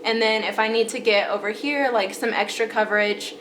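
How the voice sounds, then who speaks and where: A woman's voice: fairly high pitch, fairly even intonation, fairly quick, a bit bright, slight background noise, poor audio. A young woman with a stuffy, congested voice chatting casually through her favorite makeup products, with lots of fillers and repeated praise. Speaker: beauty vlogger.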